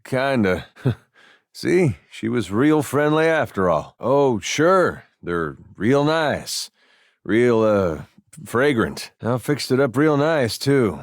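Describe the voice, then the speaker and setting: An actor voicing an easygoing, good-hearted farmer turned adventurer in a video game, with a folksy, dryly funny delivery. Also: male voice, mid-range voice, slow pace, no room sound. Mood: amused, casual. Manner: folksy, laid-back, dry deadpan humor. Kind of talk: video game character dialogue.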